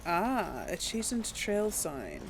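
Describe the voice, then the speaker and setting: Young woman rambling thru her game, bit of vocal fry, keeps catching her own slips. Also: lowish voice, wildly swooping pitch, slow pace, noisy background. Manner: chatty, thinks aloud, corrects herself. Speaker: let's play host. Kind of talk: casual gameplay commentary.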